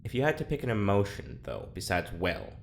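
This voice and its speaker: A man's voice: lowish voice, very animated, brisk, creaky, slight background noise. A man acting in a naturalistic audio drama as a nervous, hesitant rambler with a wry streak. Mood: hesitant, curious.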